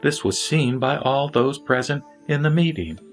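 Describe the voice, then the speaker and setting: A man reciting a Buddhist scripture as a formal, measured narrator over background music. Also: mid-range voice, animated.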